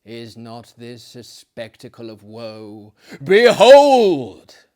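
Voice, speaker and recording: A man's voice: medium pitch, huge pitch swings, extremely slow, a little mellow, clear, resonant tone, exaggerated voice. A man voicing a wretched, shuddering fiend from a gothic story, mournful and declamatory in archaic speech. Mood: anguished.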